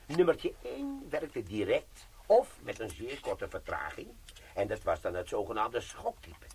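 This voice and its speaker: An actor playing a gruff veteran bomb disposal sergeant who swears and lectures in a radio play. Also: male voice, mid-range voice, very animated, unhurried, a little mellow, slight background noise. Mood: lecturing.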